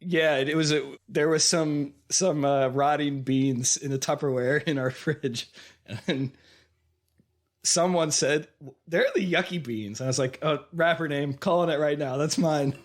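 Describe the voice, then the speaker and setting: A man's voice: a little high, unhurried. A man in his thirties co-hosting a film podcast, chatting loosely while fighting a cold and losing his voice. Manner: rambling, self-correcting, laughs often. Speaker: podcast co-host. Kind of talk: casual chat.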